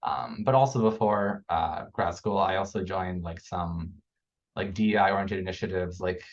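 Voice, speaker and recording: A young man, a clinical psychology graduate student, hoarse and losing his voice, answering a panel question over a video call with many fillers. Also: deep voice, normal speed, highs cut off. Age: young adult.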